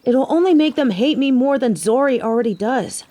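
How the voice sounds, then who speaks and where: A woman's voice: high voice, lively intonation, moderate pace, warm tone. A woman acting in an audio drama as a biker girl, a devoted human mate with a teasing, sarcastic way of talking. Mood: earnest, tender. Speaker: voice actor in an audio roleplay.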